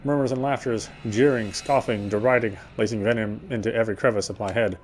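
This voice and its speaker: A man's voice: lowish voice, fairly even intonation, brisk. A man playing a visual novel, reading its narration aloud in a dramatic storytelling style. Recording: faint background noise.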